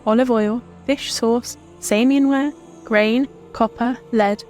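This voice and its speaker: A woman's voice: a little high, steady tone, slow pace, a little mellow, faint music behind. A woman telling history from a script as a tour presenter.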